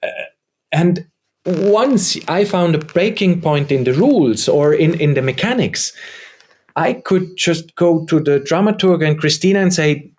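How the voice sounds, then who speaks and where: A man's voice: a little high, animated, a little slow, a little mellow. A man whose first language is German, a guest theater maker in an interview, who talks earnestly and often restarts and repeats words. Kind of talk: conversational interview.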